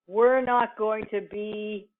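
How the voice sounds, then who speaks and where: A woman teaching in an informal lecture style, explaining step by step in a halting, deliberate manner with pauses mid-sentence. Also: medium pitch, steady tone. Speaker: computing instructor. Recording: muffled, phone-like narrowband audio.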